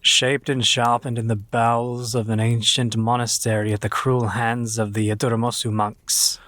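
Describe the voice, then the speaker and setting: Wry actor as ghoulish young grave robber. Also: male voice, medium pitch, little pitch movement, normal speed, a bit bright, no room sound, close to an everyday voice. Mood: relishing, matter-of-fact. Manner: dry, confident, matter-of-fact about horrors. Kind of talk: audio drama dialogue.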